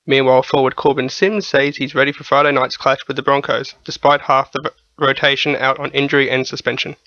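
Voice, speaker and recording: A man's voice: medium pitch, little pitch movement, fairly quick, sharp tone, no echo, dull audio. A man with an Australian accent reading a scripted radio sports bulletin.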